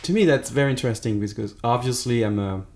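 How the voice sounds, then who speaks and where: A French-accented man hosts a podcast in a lively, chatty manner, often restarting his sentences. His voice sits at a middle pitch and sounds very dry and close, with no room around it. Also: fast, dry recording. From France. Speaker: podcast host. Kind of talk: casual interview chat.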